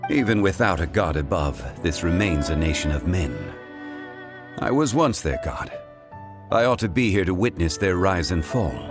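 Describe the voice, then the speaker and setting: An actor playing a calm, composed former god who speaks formally and with dignity, over game music. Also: male voice, deep voice, moderate pace. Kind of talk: video game voice acting. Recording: game soundtrack music behind. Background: orchestral game music.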